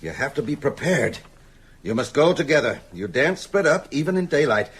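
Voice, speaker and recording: An actor playing an ailing old vampire-hunting mentor in radio drama, solemn and urgent, with a husky voice. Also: male voice, a little high, big pitch swings, fairly quick, fairly bright tone, exaggerated voice. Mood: grave, urgent. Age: older.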